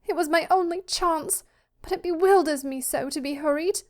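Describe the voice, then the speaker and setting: A high, breathy young woman's voice put on by a woman narrator for a timid, frightened daughter in a literary story. She speaks in anxious, hurried pleas, as if afraid of being overheard. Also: darkish tone, lightly acted. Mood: urgent, desperate. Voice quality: breathy, gasping.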